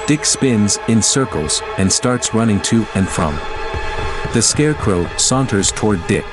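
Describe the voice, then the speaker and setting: A man narrating an audio drama from a script in a dramatic style, with music behind him. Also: fairly low pitch.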